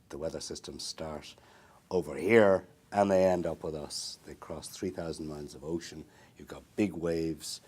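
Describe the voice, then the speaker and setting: Low-voiced man explaining at length. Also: darkish tone, slightly rough. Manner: thoughtful, many fillers and restarts, long building sentences. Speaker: marine research interviewee. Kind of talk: explanatory interview talk. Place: small indoor interview room.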